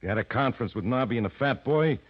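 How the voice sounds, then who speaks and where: An actor in 1940s radio style playing a slow-witted, deferential gangster henchman with hesitant, plain tough talk. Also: mid-range voice, animated, breathy edge. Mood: doubtful. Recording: muffled old radio drama recording.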